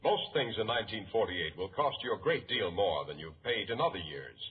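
An American man reads a vintage radio commercial with polished salesmanship. His mid-pitched voice moves up and down a lot. The sound is thin and muffled, like old radio. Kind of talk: 1940s radio advertising pitch. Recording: muffled 1940s radio recording.

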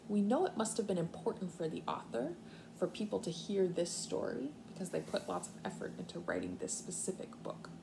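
A young woman reads a picture book to young children in an expressive, swooping voice with some vocal fry. She pauses to explain in simple, deliberate words, as a kindergarten teacher does. It is a home recording with faint room noise. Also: medium pitch. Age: young adult. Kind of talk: children's read-aloud with explanatory asides. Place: living room at home, indoors.

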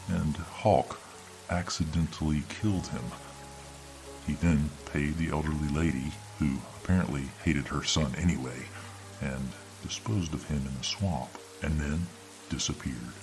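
A man narrating a scripted horror story in ASMR style, with an ominous, drawn-out delivery. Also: very deep voice, rough recording. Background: background music.

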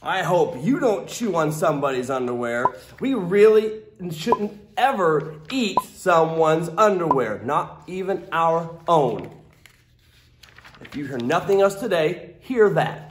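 A man's voice: fairly high pitch, animated, slow pace, background noise. A man in his thirties reading a picture book to children in a theatrical, exaggerated way, with playful asides to his young listeners. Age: 30s. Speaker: children's storytime host, teacher. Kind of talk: animated picture-book read-aloud for kids. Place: classroom. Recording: roomy echo of a classroom.

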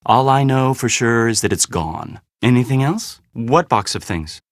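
An actor voicing a guarded, weary young heir in a video game, with a casual, sarcastic, slightly awkward manner. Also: male voice, medium pitch, animated, a little slow, dry recording, studio quality. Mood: defensive, irritated. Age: young adult. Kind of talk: video game dialogue acting.

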